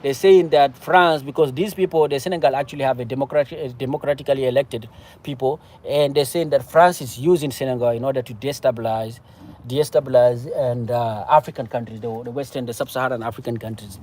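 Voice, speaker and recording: A man from Cameroon with a Cameroonian accent, speaking off the cuff about politics in a halting, repetitive, emphatic way. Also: medium pitch, steady tone, a little mellow. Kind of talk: impassioned political discussion, casual and unscripted.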